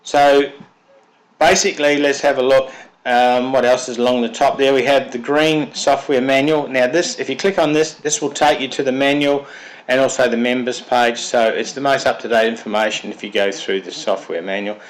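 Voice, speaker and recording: A man with a cold and a slightly froggy voice, methodically walking through a piece of software step by step. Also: mid-range voice, fairly even intonation, moderate pace, low-quality recording. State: has a cold, sounds froggy. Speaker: software developer presenting his product. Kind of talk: screen-recorded software walkthrough.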